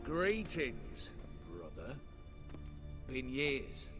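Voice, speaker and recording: A man playing a brisk, impatient, cocky heist ringleader in a video game cutscene, giving curt commands. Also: mid-range voice, wildly swooping pitch, music in the background, full character voice. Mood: cool.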